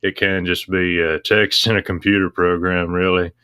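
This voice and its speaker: A man being interviewed, explaining things casually in a measured, matter-of-fact way. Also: low voice, little pitch movement, sharp tone, smooth, no echo, clean studio sound. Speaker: interview guest.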